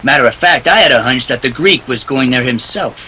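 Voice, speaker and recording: A man's voice: medium pitch, lively intonation, a little fast, sharp tone, a little hiss or hum, low-quality recording. A man acting a wisecracking, cool private detective in a 1940s radio play, clipped and wry. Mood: brisk, matter-of-fact.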